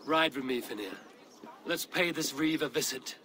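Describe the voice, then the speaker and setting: An actor playing a resolute Viking warrior leader in a video game cutscene, speaking firmly and plainly. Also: male voice, mid-range voice, moderate pace, pushed and bright, lightly acted. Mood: firm, earnest. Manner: firm, decisive, plain-spoken.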